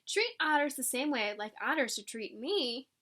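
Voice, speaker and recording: A woman reading a picture book aloud to children like a teacher, animated and explanatory, acting out lines and adding asides. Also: high-pitched, normal speed.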